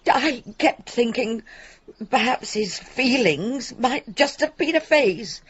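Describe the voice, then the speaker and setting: A woman's voice: a little high, animated, slow pace, slightly rough, muffled recording, heavily acted. An actress playing a bitter, proud, guilt-ridden middle-aged mother in a radio drama. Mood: defensive, regretful.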